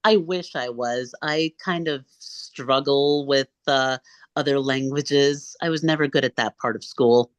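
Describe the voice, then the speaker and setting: A woman's voice: rumbling low, unhurried, dry recording. A woman guest in a casual interview, speaking in an easygoing way.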